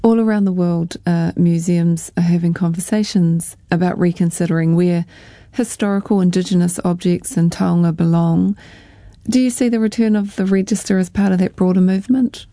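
A woman interviewer asking calm, measured questions and weaving in Māori words. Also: on the low side, no room sound, studio quality.

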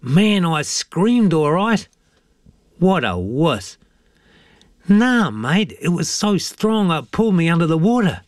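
A man's voice: a little high, sing-song, takes it slowly, dry recording, clean studio sound, pitched above the performer's own voice. A man voicing a cocky, teasing storybook boy who spins spooky tall tales. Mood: bragging. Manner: teasing, slangy, dramatic.

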